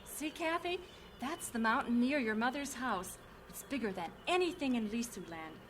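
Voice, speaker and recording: An actress as American missionary mother, slightly high voice. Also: lively intonation, normal speed, slight background noise, lightly acted. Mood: tender. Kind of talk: old radio drama acting.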